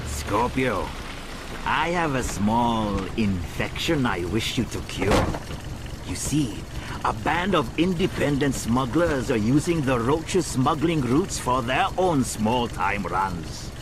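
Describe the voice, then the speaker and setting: A man's voice: mid-range voice, expressive, slow pace, very noisy. A man playing a suave, calculating crime boss in a video game cutscene, speaking in a formal, politely menacing way. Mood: quiet menace. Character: suave, calculating male criminal boss giving missions. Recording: music behind the voice.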